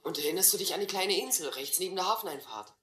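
Cheeky runaway boy bluffing in a radio play, bright voice, roomy sound. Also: high-pitched, animated, quick pace, slight background noise. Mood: brazen bluffing. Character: cheeky, quick-witted vagabond boy bluffing as a sailor. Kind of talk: German radio drama acting.

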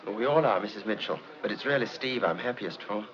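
An actor playing a loyal, jovial young colleague in a 1950s film, polite and playfully pompous. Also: male voice, lowish voice, fast talker, lightly acted. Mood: cheerful, polite. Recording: muffled old film soundtrack, party chatter.

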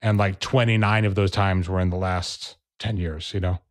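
A man speaking as a podcast guest, a relaxed storyteller in casual interview chat. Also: low voice, moderate pace. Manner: relaxed storyteller, acts out quoted people. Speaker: percussionist and educator, podcast guest.

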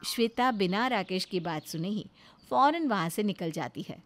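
A woman's voice: mid-range voice, lively intonation, moderate pace, a little hiss or hum. A woman narrating a scripted story as its storyteller.

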